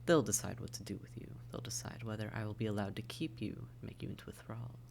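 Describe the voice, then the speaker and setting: A man playing a taunting, predatory vampire in a roleplay audio drama, with mock-tender menace and breathy, coaxing speech. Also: lowish voice, fast, darkish tone, a little hiss or hum. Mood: silky, gloating. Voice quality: breathy, often whispered, creaky. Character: silky, taunting, predatory vampire toying with captive hunter. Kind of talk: immersive roleplay audio drama, often whispered.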